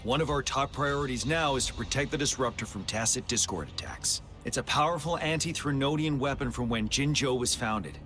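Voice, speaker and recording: A man playing a composed, resolute army general in a game cutscene, giving measured, authoritative military briefings. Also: mid-range voice, fairly bright tone, faint music behind, close to an everyday voice. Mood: commanding. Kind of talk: scripted video game cutscene acting.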